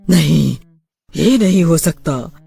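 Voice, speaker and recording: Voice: mid-range voice, expressive, slow pace, creaky, mellow, slightly rough, heavily acted. Guy doing a vengeful witch ghost lady from a horror story, menacing, drags out the words. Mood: screaming, desperate. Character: vengeful female witch spirit, cackling and menacing.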